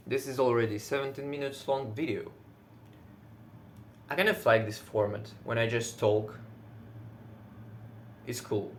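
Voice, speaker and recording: A man whose first language is Russian, speaking English with a Russian accent in casual solo talk, now and then pausing to search for words and swearing lightly. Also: mid-range voice, a little hiss or hum. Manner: says he is loud most of the time. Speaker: video creator.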